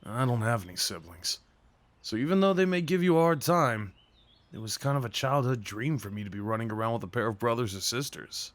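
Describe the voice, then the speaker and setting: A man's voice: mid-range voice, lively intonation, brisk, no room sound, close to an everyday voice. A young man acting a shy, sweet, apologetic date in an ASMR roleplay, talking hesitantly to the listener. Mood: friendly, tentative. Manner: hesitant, apologetic, rambling, sighs and nervous chuckles.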